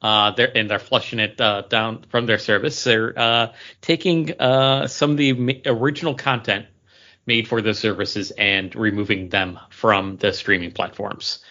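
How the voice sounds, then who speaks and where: Male podcast co-host, slightly low voice, casual banter, muffled lo-fi audio. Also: steady tone, measured pace, pushed and bright.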